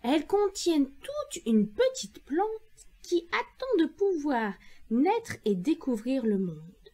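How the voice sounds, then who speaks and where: Woman voicing a high, cheerful talking tamarind tree. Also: very animated, takes it slowly, mellow, full character voice. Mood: enthusiastic teaching. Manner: enthusiastic, explaining.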